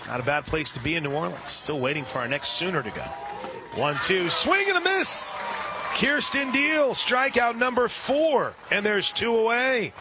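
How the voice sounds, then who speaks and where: A man calling a softball game on the radio, describing each pitch and reading sponsor spots between plays. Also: expressive, moderate pace, noisy background. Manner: rises sharply and loud on big plays. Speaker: radio play-by-play announcer. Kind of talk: live softball play-by-play.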